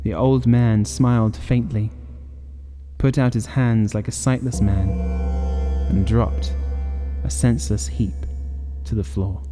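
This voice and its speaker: A man narrating a scripted gothic horror audiobook in a measured, dramatic way. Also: lowish voice, steady tone, in a large hall, music in the background.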